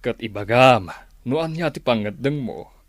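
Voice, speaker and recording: A man playing an indulgent middle-aged father and company boss in an Ilocano radio drama, speaking with deliberate, weighty authority. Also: mid-range voice, expressive, no echo, clean studio sound, lightly acted. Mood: measured, authoritative.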